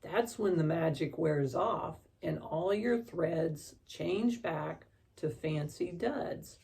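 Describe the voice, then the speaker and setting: A gruff, kindly magical fellow from a children's storybook, acted out by a woman. He talks in brusque slang at an unhurried pace, with a creaky edge. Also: low voice, warm tone, recorded from a distance, exaggerated voice. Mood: gruff encouragement. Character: Lefty, gruff but kindly male magical sneaker-granting helper.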